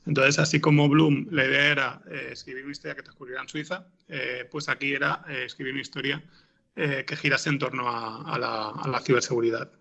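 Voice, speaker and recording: A man with a raspy, gravelly voice, answering interview questions in a hesitant way, as if thinking aloud. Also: medium pitch, level tone, brisk, pushed and bright. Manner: hesitant, thinking aloud, frequent throat clearing. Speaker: interviewed podcast scriptwriter.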